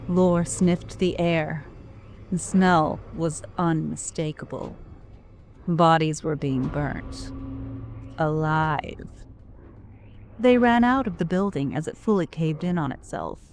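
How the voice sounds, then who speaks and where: A woman narrating an audio drama in a slow, deliberate, grave way. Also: sits low, a little mellow, slight background noise. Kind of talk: scripted narration.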